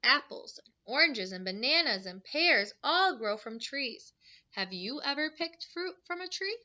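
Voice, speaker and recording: A woman's voice: sits high, very animated, measured pace, sharp tone, lo-fi sound. A woman teacher reading a picture book aloud to young children, adding simple explanations and questions in an instructive way.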